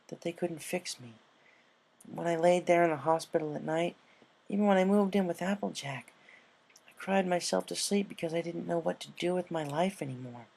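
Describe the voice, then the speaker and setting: A man plays a young storybook pegasus mare with a slightly raised, creaky voice. She is brave and tender, a soft-spoken, earnest big-sister mentor. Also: a little high, pitched above the performer's own voice. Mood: soft, candid.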